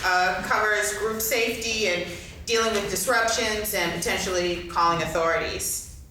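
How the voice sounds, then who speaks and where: A woman's voice: medium pitch, steady tone, normal speed, pushed and bright. A woman moderating a workshop informally, with a casual, somewhat hesitant way of talking. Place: large indoor convention room. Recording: echoey convention room, distant, faint noise.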